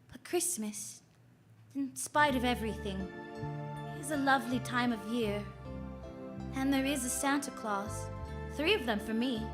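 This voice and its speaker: A woman's voice: a little high, close to an everyday voice. A woman playing a wry, flirtatious private detective who narrates her case in a vintage radio drama. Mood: fond, playful. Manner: wry, hard-boiled storytelling. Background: music.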